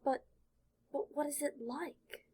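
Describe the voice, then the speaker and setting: A woman voicing a skinny, nervous, eager eleven-year-old wizard boy in an audiobook, with halting, questioning delivery. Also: high-pitched, fairly even intonation, mellow, pitched above the performer's own voice. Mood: eager, awed. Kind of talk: audiobook character voice.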